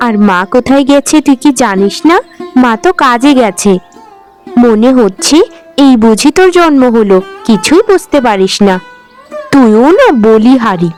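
A woman acts out a bossy village big sister in a cartoon. Her voice is high and sharp, and she nags as she talks, with music playing underneath. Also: animated, measured pace. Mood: irritated, scolding. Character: bossy, scolding elder sister of a poor village family. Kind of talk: Bengali cartoon voice acting.

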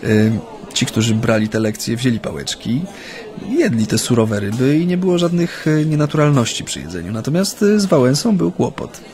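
An actor in a radio play playing a former union activist who recounts his memories in a hesitant, halting way. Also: mid-range voice, sing-song, darkish tone. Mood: amused recollection. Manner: hesitant, halting, frequent drawn-out hums. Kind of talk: radio play acting, interview-like recollection.

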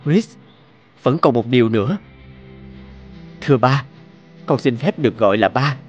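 A man voicing a devoted, romantic young boyfriend in an animated drama, speaking earnestly, tenderly and politely. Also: medium pitch, a little slow, highs cut off, faint music behind, lightly acted. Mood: devoted, solemn.

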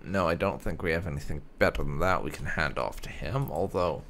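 A man with a gravelly voice giving casual playthrough commentary with some light roleplay. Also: rumbling low, fairly quick, fairly bright tone, a little hiss or hum. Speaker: gamer playing and commentating.

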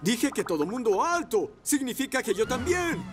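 An actor voicing a bumbling, panicky, officious police vehicle in an animated children's cartoon, exclamatory and flustered. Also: mid-range voice, normal speed, lightly acted. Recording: music behind the voice.

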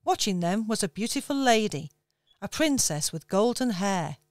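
Woman narrating a children's story, smooth voice. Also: mid-range voice, lively intonation. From England. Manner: gentle, measured storytelling.

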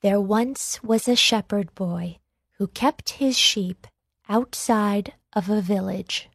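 Woman narrating kids read-along book, slow careful pace, no room sound. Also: medium pitch, creaky, husky, dry recording, studio quality. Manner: deliberate, careful phrasing. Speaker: storybook narrator.

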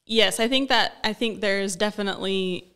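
A young woman, a speech-language pathologist, explaining her work in a relaxed interview, often pausing with "um," recorded on a studio desk mic. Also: mid-range voice, smooth. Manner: explanatory, thoughtful, frequent um fillers. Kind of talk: conversational interview. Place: small studio office. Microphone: desk-mounted broadcast mic.

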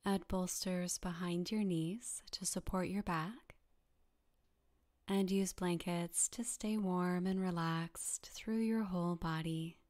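Slow, soft-spoken woman guiding meditation. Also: lowish voice. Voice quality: soft, breathy, half-whispered. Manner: calm, soothing, gently instructing with long pauses. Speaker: yoga nidra meditation guide. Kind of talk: guided meditation narration.

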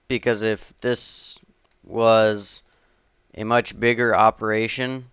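A young man chatting casually while he plays a game, rambling and talking to himself, a bit sniffly from a runny nose. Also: medium pitch. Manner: rambling, self-talking, easily surprised. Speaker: gaming channel host. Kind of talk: casual let's-play commentary. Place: home gaming setup, indoors. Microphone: headset. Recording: noisy home recording.

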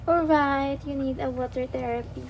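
A woman's voice: high voice, fairly even intonation, normal speed. A woman playing pretend with her child in a sing-song, coaxing, doting way. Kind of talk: improvised roleplay over a toy app. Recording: noisy homemade tablet recording.

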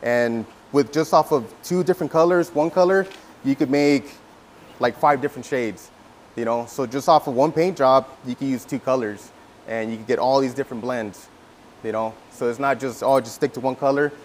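A man in his thirties from California, a custom painter teaching a class in a relaxed way, repeating words and filling pauses, on a lapel mic indoors. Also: medium pitch, slight background noise. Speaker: guest instructor, custom painter. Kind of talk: casual workshop teaching. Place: indoor event room before a backdrop.

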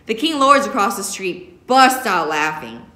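A woman in her thirties, a teacher reading a young-adult novel aloud in an expressive first-person narrating style. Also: medium pitch, a little slow. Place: indoor classroom. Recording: echoey classroom recording.

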